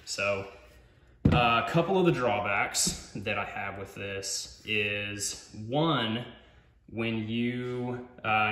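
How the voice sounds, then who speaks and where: Male hobbyist reviewer, casual and explanatory, bright edge to the voice, captured in a reverberant workshop. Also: on the low side. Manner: informal, explanatory, thinking aloud. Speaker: product reviewer, hobbyist car detailer. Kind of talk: casual hands-on tool review. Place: garage workshop at a workbench. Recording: roomy echo, clear background noise, clicks.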